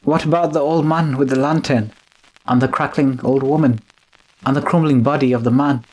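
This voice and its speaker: A man's voice: mid-range voice, fairly even intonation, normal speed, darkish tone, natural voice, rough recording. A man playing a loyal, deferential manservant in a radio drama, hesitant and anxious. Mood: wary. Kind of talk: old-time radio drama acting.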